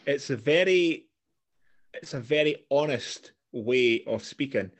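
A man's voice: mid-range voice, lively intonation, takes it slowly. Blunt, sweary Scottish man. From Scotland. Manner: blunt, sweary, rambling with restarts. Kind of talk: casual chat.

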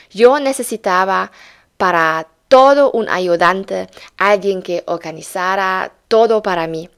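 A young German woman, speaking Spanish she learned as an adult, tells her story in earnest and introduces her German courses. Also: on the low side. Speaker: German teacher.

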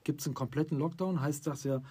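Husky middle-aged man, conversational. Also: a little high, quick pace, mellow. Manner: conversational, steers the topic. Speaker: podcast co-host. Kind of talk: casual chat.

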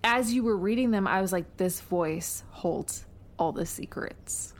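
A woman's voice: medium pitch, measured pace. A woman from New York with an American accent, talking casually and enthusiastically as a podcast co-host interviewing a guest. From New York, United States.

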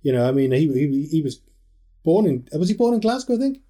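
Male interviewer from Wales, mellow tone, a bit high, hesitant and chatty. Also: sing-song, a little fast. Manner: hesitant, friendly, stacks fillers. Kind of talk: casual interview chat.

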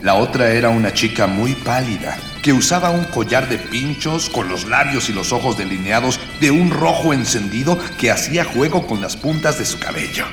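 An actor playing a grandiloquent spirit companion who narrates a radio serial in old-fashioned literary language. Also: male voice, mid-range voice, animated, moderate pace, hardly any pauses, close to an everyday voice. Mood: descriptive, wary. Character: grandiloquent male unseen spirit companion of the hero. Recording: echoey long reverb over music.

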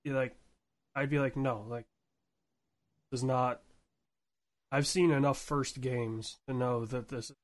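Male podcast host, mid-range voice, informal and chatty, halting as he frames questions. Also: mid-range voice, steady tone. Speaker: podcast interviewer. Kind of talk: casual chat.

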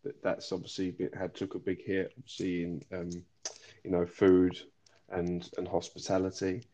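A man's voice: deep voice, monotone, moderate pace, mellow, slight background noise. A man with an English accent hosting a podcast, chatty and affable, with jokey asides. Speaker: podcast host. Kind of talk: casual interview chat.

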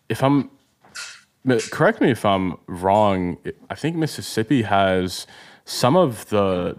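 A man with a somewhat low voice hosts a casual interview. He speaks haltingly and conversationally, circling around his point and often affirming the other speaker. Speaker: podcast host, interviewer.

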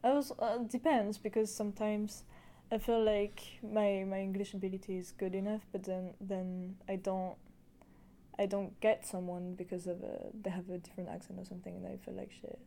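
Flat, mellow-voiced woman interviewer. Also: mid-range voice, creaky, a little hiss or hum. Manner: measured, searching for words. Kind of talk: casual conversation.

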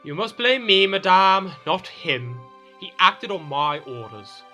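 A man's voice: high-pitched, very animated, takes it slowly, bright, forceful tone, soft background music, exaggerated voice. An actor playing a proud, petulant French king in a period audio drama, with a theatrical, formal manner. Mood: conciliatory. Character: proud, petulant French king, suspicious yet conciliatory husband.

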